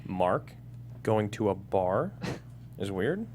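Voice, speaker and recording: An actor reading an easygoing, affectionate boyfriend in a script table read. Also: male voice, lowish voice, very animated, very slow, a little mellow, slight background noise, full character voice.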